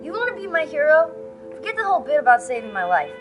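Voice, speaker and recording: A woman's voice: high-pitched, very animated, quick pace, music in the background. A woman playing a tough, brash and sarcastic fourteen-year-old girl in a dramatic video game, with a youthful voice. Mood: brash, teasing. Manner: feisty, sarcastic.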